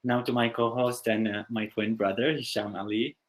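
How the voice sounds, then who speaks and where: A man's voice: fairly low pitch, level tone, normal speed. Syrian-accented man hosting. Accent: Syrian Arabic. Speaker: co-host. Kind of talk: scripted introduction.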